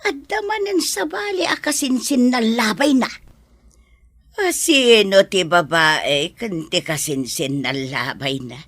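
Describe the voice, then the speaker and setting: A woman's voice: mid-range voice, animated, slow, fairly bright tone, dry recording. A woman acting in an Ilocano audio drama as a nosy, gossipy villager, speaking in an emphatic, insistent way.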